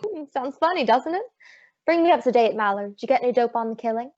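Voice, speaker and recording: A woman's voice: fairly high pitch, animated, quick pace, a little mellow, lightly acted. A young woman playing a spirited female private detective, breezy and wry, in old-time radio detective style. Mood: probing. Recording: dull lo-fi audio, very dry.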